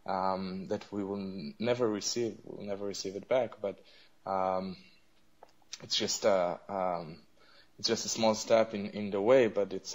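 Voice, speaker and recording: A young man with a fairly low voice speaks English as his third language, his first being Arabic. He answers in a hesitant, conversational way, full of pauses and restarts. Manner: hesitant, many fillers and restarts. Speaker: interview guest.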